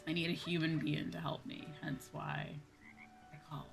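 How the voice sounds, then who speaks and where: A woman's voice: low voice, little pitch movement, moderate pace, vocal fry, faint music behind. A woman livestreamer chatting casually while gaming, blunt and swearing freely, repeating her points for emphasis. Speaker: livestream host, VTuber.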